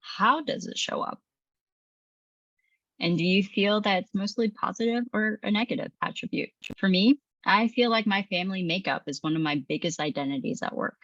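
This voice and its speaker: A woman in her thirties reading a training presentation in a calm, measured, reflective way. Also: mid-range voice, no room sound, highs cut off. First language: English. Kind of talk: scripted training narration.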